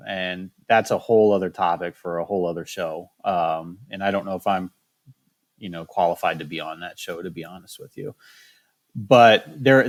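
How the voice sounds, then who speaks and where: A man speaking as a state wildlife biologist in a candid interview, choosing his words carefully with frequent restarts. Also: on the low side. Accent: Michigan.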